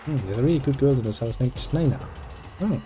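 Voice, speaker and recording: A man's voice: mid-range voice, a little fast, background noise. A man reading game dialogue aloud and adding dry, sarcastic jokes as he plays. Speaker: gameplay video host.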